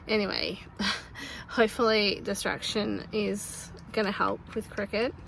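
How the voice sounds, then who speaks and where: A woman, chatting casually to her phone camera in a family vlog, her voice a little croaky. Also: mid-range voice, flat delivery, unhurried, fairly bright tone, rough recording. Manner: chatty, laughs between sentences. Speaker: family vlogger. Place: outdoors by a busy road. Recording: clear traffic noise outdoors.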